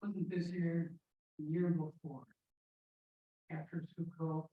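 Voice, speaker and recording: Muffled, slow-speaking woman in a class. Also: sits low, level tone. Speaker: class participant. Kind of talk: hesitant personal comment in a class. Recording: muffled, band-limited, quiet and distant.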